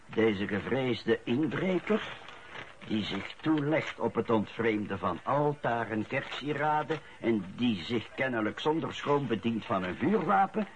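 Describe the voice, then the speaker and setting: An actor playing a scoffing Flemish husband in a radio drama, with a theatrical, drawn-out delivery. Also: male voice, mid-range voice, a little hiss or hum, close to an everyday voice. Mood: dry reading. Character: mocking Flemish husband reading a love letter aloud. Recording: dull lo-fi radio play recording.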